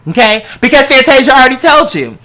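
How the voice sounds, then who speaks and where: Bright, sassy American advice vlogger. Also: sits high, very animated, fast talker. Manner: sassy, playful, addresses listener as girl; theatrical, bursts into song. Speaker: vlogger giving relationship advice. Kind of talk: casual animated advice chat.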